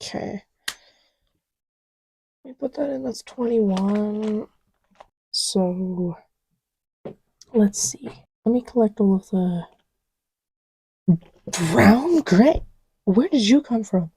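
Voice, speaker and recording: Giggly, playful girlfriend murmuring at an unhurried pace, acted by a woman. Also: mid-range voice, lively intonation, warm tone, breathy edge, heavily acted, poor audio. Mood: absorbed, chatty. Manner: giggly, rambling, playfully indignant, often dropping to murmurs. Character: giggly, playful girlfriend testing markers on boyfriend's lap. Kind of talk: intimate improvised-sounding ASMR roleplay.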